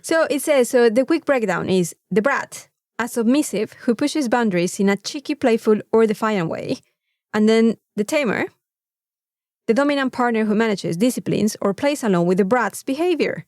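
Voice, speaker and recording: A Mexican woman with a native Spanish background, co-hosting a podcast in casual, comedic chat with a playful, sweary, animated storytelling manner. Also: a little high, moderate pace, no room sound, clean studio sound. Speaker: podcast co-host.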